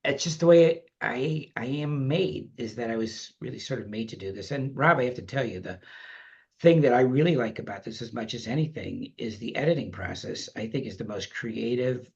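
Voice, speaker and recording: A man's voice: medium pitch, animated, normal speed, creaky, darkish tone. Man with a dull, muffled remote mic. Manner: reflective, rambling, many you-knows and restarts. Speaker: podcast guest. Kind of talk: casual interview chat.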